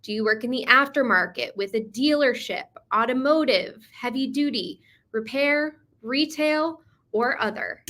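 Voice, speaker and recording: A woman hosting an online industry webinar, her voice bright and a touch high. She introduces speakers and runs the session in a polished, upbeat way. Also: a little slow. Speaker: webinar moderator. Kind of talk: scripted moderation.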